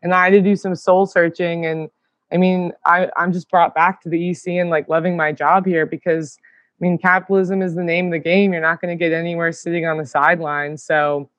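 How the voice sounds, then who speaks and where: A woman guest in casual conversation, eager and talking in quick bursts with plenty of fillers. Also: on the low side, little pitch movement, dry recording. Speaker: podcast guest. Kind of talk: casual chat.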